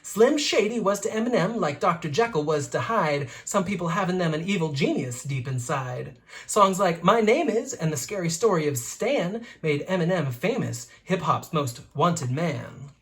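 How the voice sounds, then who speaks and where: A children's read-aloud host with a mid-pitched voice and very lively intonation. The delivery is rhythmic and playful, leaning into the rhymes of a picture book. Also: moderate pace.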